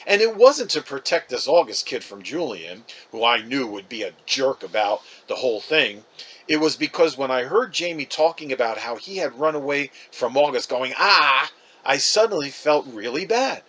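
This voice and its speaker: A man giving an expressive first-person reading of a children's book, as an actor narrating. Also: mid-range voice, moderate pace.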